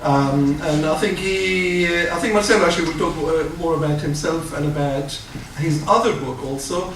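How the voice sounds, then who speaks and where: A man's voice: fairly high pitch, normal speed, fairly bright tone. A man moderating a literature panel, speaking hesitantly with many fillers and friendly asides. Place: public library event hall. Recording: echoey hall, distant mic, audible noise.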